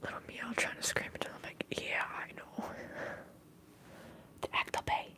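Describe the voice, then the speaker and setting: Whispering Minnesotan woman in ASMR chat. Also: moderate pace. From Minnesota, US upper Midwest. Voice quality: breathy unvoiced whisper. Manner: rambling, conversational asides to viewers. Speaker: livestream host.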